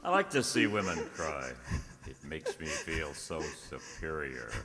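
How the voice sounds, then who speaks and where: An actor playing a cruel, taunting stepbrother with a smugly superior manner, in the style of an old radio thriller. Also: male voice, rumbling low, huge pitch swings, measured pace, crowd noise, heavily acted. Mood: mocking, smug. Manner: mocking, smugly superior drawl. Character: cruel, taunting stepbrother who relishes women's tears. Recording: echoey hall radio-play recording.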